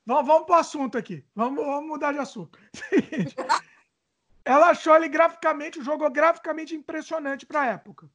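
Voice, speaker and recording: A woman's voice: high-pitched, a little fast, a bit bright. A woman with a Brazilian accent hosting a casual chat and reading viewer questions, stumbling over words and joking about her age. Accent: Brazilian Portuguese.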